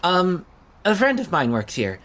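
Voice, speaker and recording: A woman's voice: fairly low pitch, sing-song, unhurried, fairly bright tone. A woman playing an awkward, nervous young job seeker in an audio drama, hesitant, stammering and rambling. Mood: defensive, explaining. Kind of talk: naturalistic audio drama acting.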